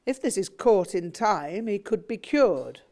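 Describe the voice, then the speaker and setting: A woman reading aloud, voicing a domineering middle-aged father who lectures loudly and insistently. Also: mid-range voice, very animated, unhurried. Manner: loud, insistent, rhetorical.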